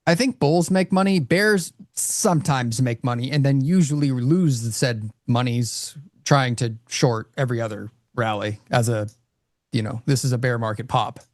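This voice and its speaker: A man's voice: medium pitch, normal speed, no room sound, clean studio sound. A man casually talking through his trades on a live stream, rambling with lots of fillers. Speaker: trader host. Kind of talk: live trading commentary.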